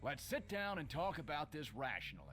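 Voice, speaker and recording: An actor playing a calm, authoritative police officer who speaks in a measured, steady way. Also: male voice, on the high side, slight background noise. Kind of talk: game voice acting.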